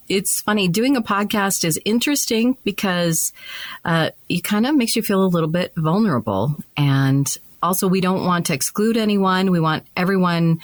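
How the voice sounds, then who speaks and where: A woman's voice: fairly low pitch, no room sound. A woman in her fifties from Montana, co-hosting a podcast in a playful, self-deprecating chatty manner. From Montana, USA. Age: 50s. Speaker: podcast co-host. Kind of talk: casual podcast chat.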